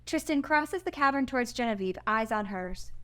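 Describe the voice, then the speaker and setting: High-voiced woman narrating a screenplay. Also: lively intonation, moderate pace, bright, forceful tone, clean tone. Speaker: narrator reading stage directions.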